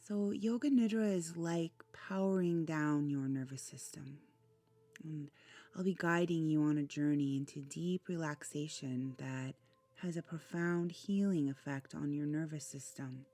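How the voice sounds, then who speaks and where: A woman guides a relaxation meditation in a low, mellow voice. She speaks very slowly and gently, letting phrases trail into soft breath. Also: no echo. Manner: soothing, unhurried, gentle phrasing with pauses. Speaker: meditation guide, channel host.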